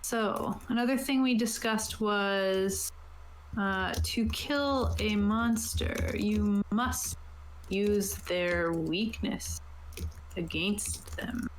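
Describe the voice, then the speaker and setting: A woman's voice: mid-range voice, drawn-out words. A woman talking casually as a game master, explaining rules and options to players. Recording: roomy echo, light background noise.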